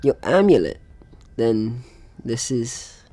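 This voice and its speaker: A man's voice: mid-range voice, big pitch swings, slow, warm tone, slightly rough. A man doing casual let's play commentary, with playful asides and game text read aloud. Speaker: gaming video host.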